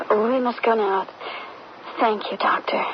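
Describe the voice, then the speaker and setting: A woman's voice: mid-range voice, steady tone, takes it slowly, background noise, lightly acted. An actress playing an earnest young woman in an old-time radio drama, with a theatrical delivery. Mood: sad. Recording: dull old radio broadcast.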